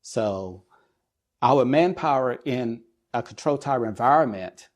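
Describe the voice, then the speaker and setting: A middle-aged man answers as the specialist guest in an interview. His voice sits at an average pitch for a man. He lays out explanations in measured, deliberate phrases, often pausing before he goes on. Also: animated. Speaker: guest expert, air traffic controller and business executive.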